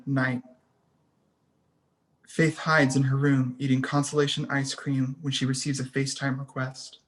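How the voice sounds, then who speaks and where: A narrator reading scene headings and action lines in a scripted table read. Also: medium pitch. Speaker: stage directions narrator.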